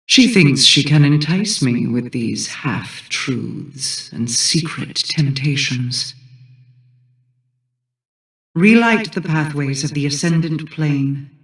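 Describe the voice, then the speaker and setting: A woman's voice: low-pitched, exaggerated voice. An actress playing a regal, guarded fantasy queen in a video game, speaking in a formal, imperious manner. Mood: commanding, guarded. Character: regal, solemn, guarded Awoken queen, vengeful and commanding. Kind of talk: scripted video game dialogue. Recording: echoing, distant-sounding game voice.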